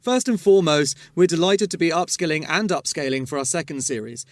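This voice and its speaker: A man hosting a podcast, reading a polished intro and then asking playful, teasing quick-fire questions. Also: on the high side, no room sound. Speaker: podcast host. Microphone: studio mic.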